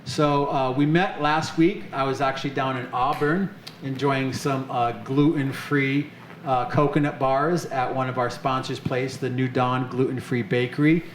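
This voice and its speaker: Upbeat male host working a live stage crowd, mid pitch, roomy sound. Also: steady tone, measured pace. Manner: upbeat, chatty, many fillers. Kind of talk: live show hosting. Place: off-center stage of an arts center, live audience. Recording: echoey room with faint noise.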